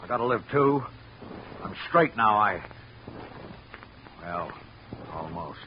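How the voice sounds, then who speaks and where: A man's voice: on the low side, animated, slow pace. An actor playing a defensive, middle-aged working-class watchman with plain, ungrammatical speech in an old radio detective drama. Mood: defensive, pleading. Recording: dull vintage radio recording, faint hiss.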